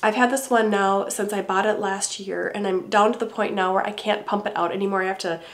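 A middle-aged woman with a congested voice from a cold, calmly explaining her hair care routine to camera at home. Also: medium pitch, brisk. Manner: calm, gentle, explaining step by step. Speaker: beauty vlogger. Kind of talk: casual talk to camera. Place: home room indoors.

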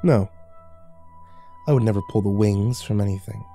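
A man voicing a cruel, mocking fallen angel who taunts with a smug, soft tone that can snap into screeching. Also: mid-range voice, very animated, moderate pace, soft background music, natural voice. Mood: bitter. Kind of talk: audio drama storytelling.

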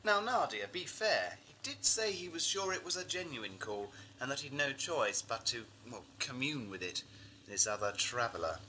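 A man's voice: medium pitch, big pitch swings, moderate pace, bright, forceful tone, a little hiss or hum, low-quality recording. A man playing a reasonable but uneasy young space traveller in a science-fiction audio drama. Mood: reasoning. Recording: amateur 1980s drama tape with music.

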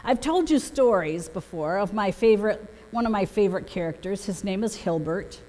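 A woman's voice: medium pitch, darkish tone. A middle-aged woman pastor delivering a prepared sermon with storytelling from a church pulpit. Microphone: gooseneck pulpit microphone. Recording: echoing church hall, long reverb.

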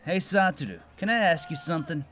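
A man voicing an aloof, self-important schoolboy in an anime parody dub, deadpan and emphatic as he lectures friends. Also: a little high, a little fast, close to an everyday voice. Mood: lecturing.

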